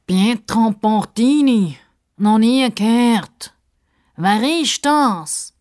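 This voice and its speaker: A woman voicing a touchy, eager young male crocodile in a children's story, with drawn-out, grumbling delivery. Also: a little high, animated, darkish tone, voice pushed up. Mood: indignant.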